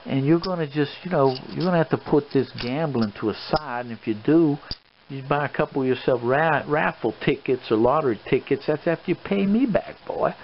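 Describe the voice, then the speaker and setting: A man's voice: fairly high pitch, natural voice. Guy doing an old colonel giving a stern fatherly lecture, rambles and stalls, dull sound w/ noise in the back. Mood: firm, concerned. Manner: halting, rambling, fatherly scolding. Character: old colonel, paternal, stern mansion owner lecturing a young man. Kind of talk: improvised ASMR role play monologue. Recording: dull lo-fi recording with clear background noise.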